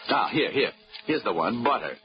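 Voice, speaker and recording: A man's voice: medium pitch, animated, moderate pace, close to an everyday voice. A man playing a breezy, wisecracking insurance investigator in a 1940s radio drama. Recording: dull old radio recording with music.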